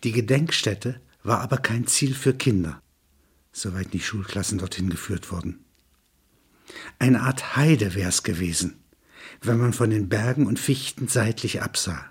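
A man's voice: on the low side, a little slow, slightly rough. A man reading scripted literary narration, including quoted dialogue.

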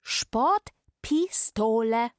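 A provincial occupational therapist making small talk in a radio drama. Her voice is fairly high and extremely slow, every word set out distinctly as if for a foreigner. The sound is dry and close. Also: lively intonation, no echo. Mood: chatty, patronising.

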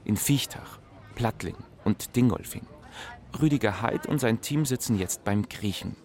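A man narrating a radio documentary in a measured, clear storytelling manner. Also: fairly low pitch, darkish tone. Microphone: close studio mic.

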